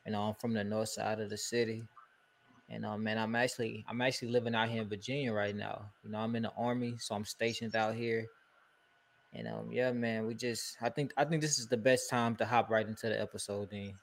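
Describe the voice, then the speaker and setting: A young man from Houston chats on a podcast in a low, gravelly voice that stays flat and even. He talks laid-back and loose, leaning on easy filler phrases. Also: a little fast. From Houston, Texas. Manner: laid-back, peppers talk with "you know" and "bro". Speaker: podcast co-host. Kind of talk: casual podcast chat.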